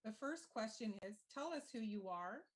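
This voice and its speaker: A woman moderating and speaking on a discussion panel, talking in a measured, careful way. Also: a little high, lively intonation.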